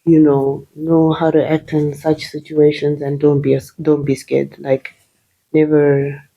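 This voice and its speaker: A woman from Zimbabwe, Shona her first language, talks as an interview guest. Her voice sits low and sounds mellow and soft. She talks hesitantly, with fillers and restarts. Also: little pitch movement, measured pace, creaky, dull audio.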